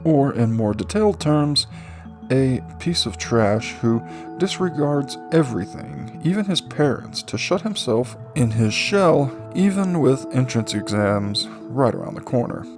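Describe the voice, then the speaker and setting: A man reading a fantasy novel aloud as an amateur audiobook narrator, with deliberate phrasing, drawn-out words and pauses. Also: medium pitch, lively intonation, music in the background.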